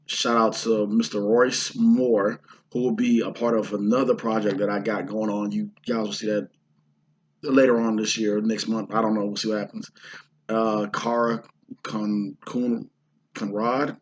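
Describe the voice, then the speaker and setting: A hoarse man from the Houston area chatting casually about his indie film shoot, rambling with lots of fillers and restarts. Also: on the low side, a little hiss or hum. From Houston, Texas area. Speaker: indie filmmaker and actor. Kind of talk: casual vlog talk.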